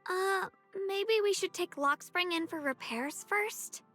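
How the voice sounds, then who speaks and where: A very high, bright-voiced young woman from a game. She is eager and trusting, speaking earnestly and getting flustered when teased. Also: takes it slowly, soft background music, full character voice.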